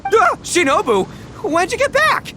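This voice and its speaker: An actor playing a brash, goofy, overconfident oni gang boss in a video game, with slangy, theatrical bragging. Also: male voice, extremely high pitch, wildly swooping pitch, unhurried, sharp tone, a little hiss or hum, exaggerated voice. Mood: flustered. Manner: slangy, bragging, theatrical, stammers when flustered. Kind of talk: animated video game voice acting.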